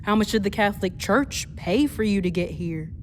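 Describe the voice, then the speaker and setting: A woman's voice: medium pitch, animated, normal speed, a little hiss or hum, natural voice. A young woman playing a brash, mocking twenty-one-year-old genius navigator in a radio play, sarcastic and flippant. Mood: sarcastic, irritated.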